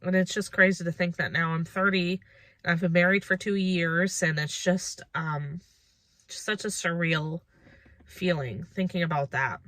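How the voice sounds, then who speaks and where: A woman's voice: on the low side, steady tone, moderate pace, fairly bright tone, dry recording. A woman of about thirty chatting casually and reflectively to her viewers as a lifestyle vlogger, informal and thinking aloud.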